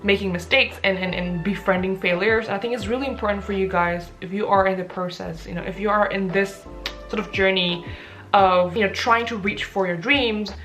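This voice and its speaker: A woman's voice: medium pitch, steady tone, brisk, fairly bright tone, soft background music. Chatty young woman with an Indonesian accent. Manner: chatty with frequent fillers and restarts. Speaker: vlogger answering viewer questions. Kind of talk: casual talking-head Q&A.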